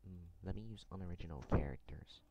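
A man hosting a casual software tutorial, thinking aloud in a halting, hesitant way. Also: deep voice, gravelly. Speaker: tutorial host. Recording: noisy room, keyboard and mouse clicks. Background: typing and mouse clicking.

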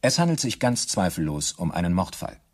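Official, radio play, low-pitched, husky, formal, sober, precise report delivery. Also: male voice, very animated, fairly quick, exaggerated voice. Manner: formal, matter-of-fact, precise. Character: sober male official reporting police findings. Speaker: radio drama actor.

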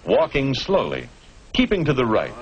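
A man's voice: mid-range voice, huge pitch swings, normal speed, creaky, dull audio. A man narrating a 1950s educational film in an instructive manner, putting rhetorical questions to the viewer. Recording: noisy old 1950s film soundtrack.

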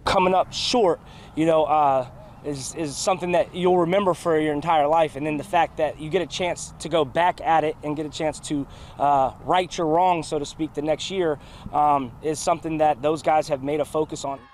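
Man, football coach, interview, high-ish pitch, halting delivery, slight outdoor noise. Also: steady tone. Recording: faint outdoor traffic noise.

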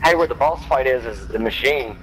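A man chatting casually and excitedly with friends while playing a video game, swearing freely. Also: mid-range voice, brisk, slight background noise, low-quality recording. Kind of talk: casual gaming chat with friends. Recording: voice under loud game audio.

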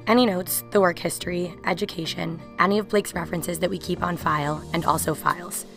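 A young woman presents a software walkthrough. Her voice sits a little low and she explains things conversationally. Music plays clearly behind her. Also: fairly even intonation, brisk. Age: young adult. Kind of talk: software demo narration.

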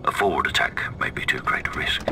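A man playing an elderly loyal butler in a video game, formal, composed and quietly deferential. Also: a little fast, lightly acted. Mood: cautious, composed. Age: older. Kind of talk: video game cutscene acting. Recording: distant voice under loud noise.

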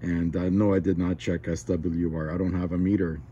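A man's voice: low voice, level tone, moderate pace, dull audio, background noise. A man talking casually on ham radio in an admiring, slangy way. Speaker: amateur radio operator.

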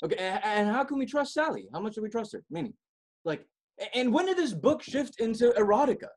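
A man playing a smug, swooping amateur writer. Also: high-pitched. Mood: conspiratorial, smug. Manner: smug, animated, chuckling, dramatic pauses. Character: pompous, melodramatic amateur writer giving writing advice. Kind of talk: theatrical comedic monologue.

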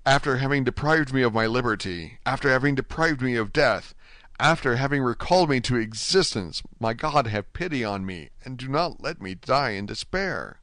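A man's voice: mid-range voice, lively intonation, normal speed, fairly bright tone, dry recording, natural voice. A man voicing a desperate young sailor held prisoner, earnest and pleading, in an audiobook style. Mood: despairing prayer. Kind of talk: audiobook character acting.

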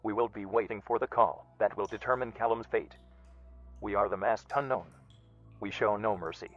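A man playing a cold masked male kidnapper, delivering flat, menacing threats in a video message. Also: on the low side, moderate pace, lightly acted. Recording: muffled video playback with music.

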